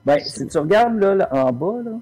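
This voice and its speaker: A man, narrating calmly as game master of a tabletop game. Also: high-pitched, moderate pace, rough recording.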